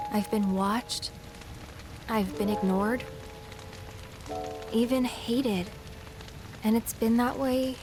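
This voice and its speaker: A woman playing a gentle, wistful young woman in a video game cutscene, speaking in a measured, sincere way. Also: medium pitch, lightly acted. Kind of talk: heartfelt dramatic monologue in a video game cutscene.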